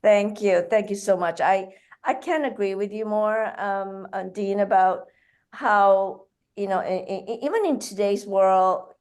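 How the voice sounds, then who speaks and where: A woman's voice: medium pitch, unhurried, a little mellow, clean tone. A woman from Malaysia, a guest author, answering interview questions with many hesitations. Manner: hesitant, with many fillers and restarts. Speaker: guest author and food entrepreneur.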